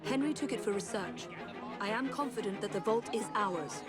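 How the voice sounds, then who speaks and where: Woman playing poised Victorian heroine, crisp, reproving, game cutscene, music behind her. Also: mid-range voice, animated, moderate pace, over a noisy crowd, lightly acted. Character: poised, sensible young Victorian woman adventurer, reproving sister. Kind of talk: video game cutscene acting.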